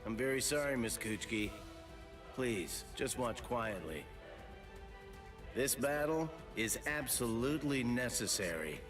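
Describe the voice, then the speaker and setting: Courteous, grave gentleman warrior played by a man. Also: mid-range voice, animated, natural voice. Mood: grave, apologetic. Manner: courteous, measured. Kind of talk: restrained anime game dub acting. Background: game music.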